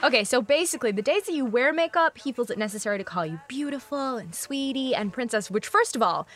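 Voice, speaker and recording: A woman's voice: sits high, animated, fast. An actress playing an outspoken young woman chatting in an audio drama, sassy and emphatic in her indignation. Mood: indignant, amused.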